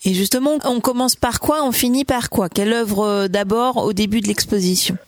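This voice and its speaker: A woman's voice: mid-range voice, normal speed. A woman interviewing on radio, putting prepared questions and making short announcements.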